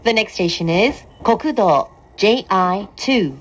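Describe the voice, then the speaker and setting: A woman train announcer reading scripted station announcements in a calm, formal, deliberate manner. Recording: dull train PA with clear rumble. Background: train running noise.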